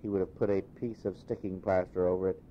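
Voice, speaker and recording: A man reading a classic story aloud as an expressive audiobook narrator. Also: fast. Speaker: audiobook reader. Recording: dull, noisy recording with low rumble.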